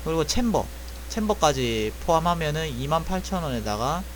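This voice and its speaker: A man's voice: a little high, very animated, normal speed, slight background noise. A young man casually explaining a repair job, thinking aloud and correcting himself as he goes. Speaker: airsoft gun technician. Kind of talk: casual spoken tutorial.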